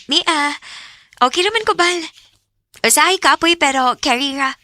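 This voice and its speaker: High, bright-voiced emotional young Visayan wife. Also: female voice, measured pace, no echo. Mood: cheerful, affectionate. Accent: Visayan Filipino. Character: emotional young wife working abroad, trusting then betrayed. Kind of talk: Visayan radio drama acting with first-person narration.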